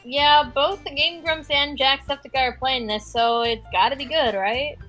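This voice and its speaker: A woman's voice: high voice, normal speed, a bit bright, soft background music. A young woman co-hosting a casual let's-play, hesitant and swearing casually. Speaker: co-host of a gaming channel.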